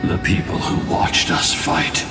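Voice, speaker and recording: A man's voice: measured pace, close to an everyday voice. An actor playing a grave ancient guardian spirit in a fantasy game, telling his tale with solemn weight. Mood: grim, intense. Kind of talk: dramatic fantasy game voice acting. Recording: echoing hall reverb, distant, with music.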